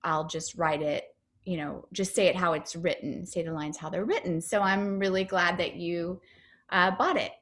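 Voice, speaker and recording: A woman's voice: fairly low pitch, moderate pace, fairly bright tone. A woman comedian and actor answering interview questions over a video call, chatty and upbeat, with lots of fillers and restarts.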